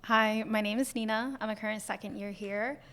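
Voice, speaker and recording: A woman's voice: medium pitch, steady tone, fairly quick, fairly bright tone, clean tone. A young woman from Portland, Maine, a student guest on a podcast, chatting casually with fillers. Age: young adult.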